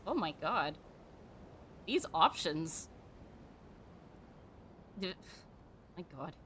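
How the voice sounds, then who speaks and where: Girl streamer chatting over her game, bright voice, slow, some rumbly noise behind. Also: animated. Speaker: streamer playing a video game. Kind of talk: casual reactive game-stream chat. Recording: background noise with low rumble.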